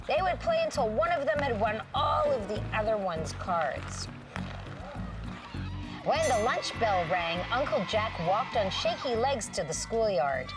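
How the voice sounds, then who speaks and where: A woman's voice: a little high, recorded from a distance. A woman hosting a children's show, reading a storybook expressively with dramatic little interjections and questions for young listeners.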